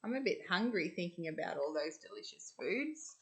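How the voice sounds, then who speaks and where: An Australian woman reading a storybook to children, expressive and deliberate in the manner of a school storyteller. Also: on the low side, creaky.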